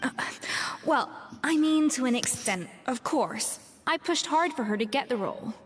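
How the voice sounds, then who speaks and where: A woman's voice: sits high, animated, slight background noise. An actress voicing a proud, troubled young female chef in a video game, reflective and articulate. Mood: wistful, regretful. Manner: measured, articulate, pensive storytelling. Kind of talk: scripted video game voice acting. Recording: long reverb, music behind. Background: game music.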